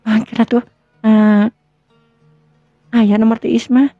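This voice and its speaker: A woman's voice: a little high, little pitch movement, takes it slowly, no echo. A woman with a Sundanese accent playing a considerate young wife in a conversational, slightly hesitant radio-drama style. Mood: thoughtful. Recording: dull lo-fi recording with music.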